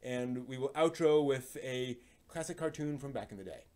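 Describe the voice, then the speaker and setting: A man's voice: mid-range voice, normal speed, darkish tone, a little hiss or hum. A man hosting a live stream in casual chat, hesitant and rambling, often trailing off.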